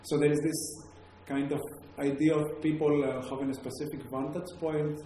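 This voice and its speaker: A man with a Greek accent lectures to an audience, pausing often on fillers and restarting his sentences. His voice is mellow and dark. It rings out in a very echoey room over a faint hum of background noise. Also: a little high, little pitch movement. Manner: hesitant, many fillers and restarts. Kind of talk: academic talk to a live audience.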